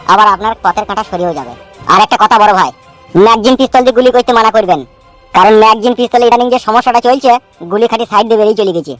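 A man's voice: high voice, fairly bright tone. A man voicing an eager, servile gangster henchman in a comedy cartoon, chatty, deferential and conspiratorial. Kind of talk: Bengali comedy cartoon dialogue.

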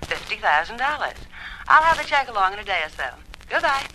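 An actress playing a brisk, slyly insistent insurance agent through a telephone filter in a 1950s radio drama. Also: female voice, a little high, sing-song, pushed and bright. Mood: smooth, brisk. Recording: noisy phone voice, old radio.

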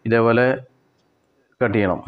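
A man with a Kerala Malayali accent giving step-by-step cooking instructions in a plain way, with pauses and repetitions. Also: medium pitch, steady tone, narrow, tinny audio. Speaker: home cook presenter. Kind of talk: step-by-step recipe narration.

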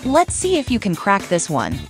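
A woman's voice: medium pitch, wildly swooping pitch, normal speed, over music. A woman hosting a quiz with scripted narration. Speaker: quiz host.

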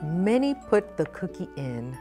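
Low-pitched, expressive middle-aged woman. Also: over music. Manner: expressive, deliberate phrasing for children. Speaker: guest storytime reader, nonprofit president. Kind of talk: children's picture book read-aloud. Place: indoor storytime set at a library.

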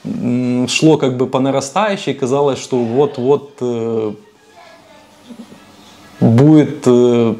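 A calm man in his thirties speaks very slowly and carefully, pausing with small fillers. He sits on a couch at home, recorded on a lapel mic. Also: mid-range voice. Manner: calm, measured, with hesitant fillers. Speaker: interviewee, husband and father. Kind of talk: documentary interview. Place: living room couch, indoors.

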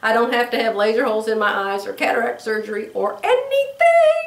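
An older woman with a drawl, hoarse, telling stories and chatting casually to camera as a sewing vlogger. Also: fairly high pitch, very animated, brisk, far from the mic. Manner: chatty storytelling, acts out quoted voices. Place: bedroom sewing room, indoors. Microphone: camera across the room. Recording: roomy, from off mic.

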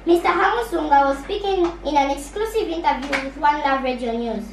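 A young Zambian girl with a very high voice reads scripted news. The sound is echoey and away from the mic. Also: brisk. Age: teenage school pupil. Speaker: pupil newsreader. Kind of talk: scripted radio news reading. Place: small radio studio, recorded by camera. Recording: echoey, distant mic, faint noise.

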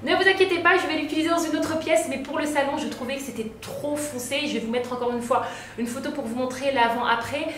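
A woman's voice: high-pitched, fast talker. A woman in her thirties, a home decor vlogger chatting casually to camera, with many fillers and restarts. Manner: lively hand gestures, many fillers and restarts. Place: bright living room, indoors. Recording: roomy echo, faint background noise.

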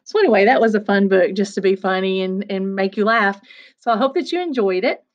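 Playful teacher reading aloud to kids. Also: female voice, mid-range voice, brisk, lo-fi sound. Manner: playful, expressive, chatty asides to children. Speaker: second-grade teacher. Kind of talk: reading a picture book aloud. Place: small attic room at home. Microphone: webcam at desk.

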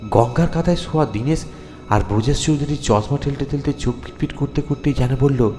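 A man narrating a ghost story in a theatrical, deliberate way, with dramatic pauses. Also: medium pitch, warm tone. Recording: long reverb with music underneath. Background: music.

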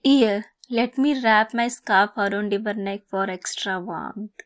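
A woman playing a teasing, affectionate young woman in love in an ASMR romance roleplay, speaking softly and playfully, at times breathily. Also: mid-range voice, slow, dry recording, clean studio sound, close to an everyday voice.

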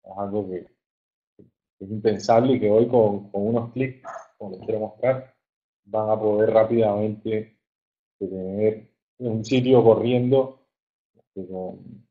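A man with a fairly low voice and a coastal Colombian accent gives an informal online presentation. He speaks haltingly, often repeating words as he looks for the next phrase. The audio is muffled and narrow, like a phone call. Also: fairly even intonation. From Caribbean coast of Colombia. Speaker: webinar presenter, software engineer. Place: online webinar.

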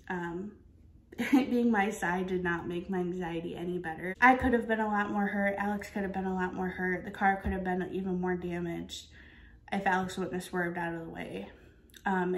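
A woman's voice: on the low side, creaky. Young woman chatting in an echoey room. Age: 20s. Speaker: vlogger. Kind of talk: casual chat to camera. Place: kitchen of an apartment, indoors.

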